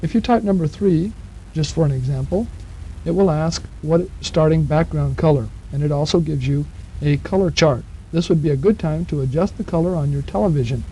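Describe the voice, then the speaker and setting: A man's voice: a little high, fairly quick, warm tone. A man narrating step-by-step instructions in a patient, plain-spoken, explanatory way, on an old 1970s cassette recording. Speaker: instructor narrating a program manual. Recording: muffled 1970s cassette tape with hiss.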